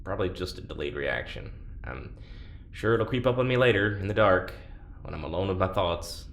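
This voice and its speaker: A man playing an awkward, gentle, self-deprecating test subject in an audio drama, speaking informally and hesitantly. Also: low-pitched, animated, normal speed. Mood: awkward, apologetic. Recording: roomy echo, clear ambient noise.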